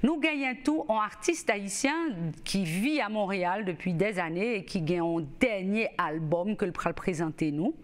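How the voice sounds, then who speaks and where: A woman hosting a TV newsmagazine, welcoming viewers and interviewing a guest in a lively way. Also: medium pitch, normal speed, few pauses. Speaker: host. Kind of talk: TV magazine show hosting and interviewing.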